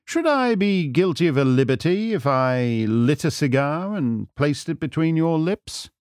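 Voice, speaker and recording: A man voicing a suave, self-assured gentleman detective in an audiobook, urbane, measured, wry and formal. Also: on the high side, animated, no echo, clean studio sound, pitched above the performer's own voice. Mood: courteous. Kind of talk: audiobook character acting.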